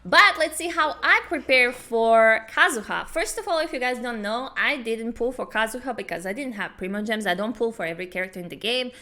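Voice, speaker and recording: A woman hosting a casual gaming reaction video, chatting in an excitable, giggly way. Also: fairly high pitch, brisk, hardly any pauses. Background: game music.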